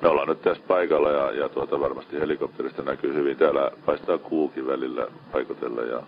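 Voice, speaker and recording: Male ship officer, extremely low voice, coordinating over a dull marine radio link. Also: fairly even intonation, creaky. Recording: muffled, band-limited marine radio transmission.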